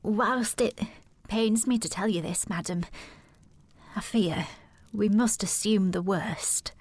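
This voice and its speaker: An actress playing a polite, hesitant housekeeper of a great house in a period audio drama. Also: female voice, mid-range voice, takes it slowly, a little mellow, slightly rough, dry recording. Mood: grave, reluctant. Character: formal, deferential housekeeper of a moorland house.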